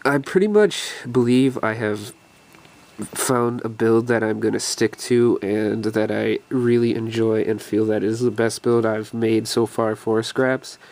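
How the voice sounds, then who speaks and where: A man's voice: mid-range voice, a little mellow. Young guy w a cold, kinda stuffy n out of breath, rambly card deck talk full of ums. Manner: rambling with many fillers and restarts. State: congested from a cold. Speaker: deck profile video host. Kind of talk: casual game deck walkthrough.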